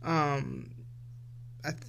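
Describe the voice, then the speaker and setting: A woman's voice: low-pitched, steady tone, extremely slow, vocal fry, a bit bright, slight background noise. A woman of forty hosting a casual solo podcast, talking in a reflective, loose and chatty way straight to her listeners. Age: 40s. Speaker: podcast host.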